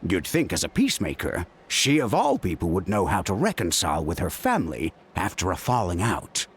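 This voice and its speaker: A man's voice: deep voice, fairly quick, breathy edge, exaggerated voice. An actor playing a caring, middle-aged father and healer in a video game, chiding and chatting in an exasperated way. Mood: fretful, chatty. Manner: animated, chiding, conversational.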